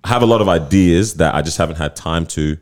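Laid-back deep-voiced young man. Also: fast talker, darkish tone. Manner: laid-back slangy talk, says bruv and fam. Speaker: podcast host. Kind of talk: casual chat.

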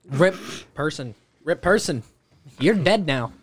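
A man's voice: on the high side, lively intonation, slow pace, slight background noise. A man co-hosting a podcast, wisecracking with crude humor. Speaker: podcast co-host. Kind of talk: casual chat.

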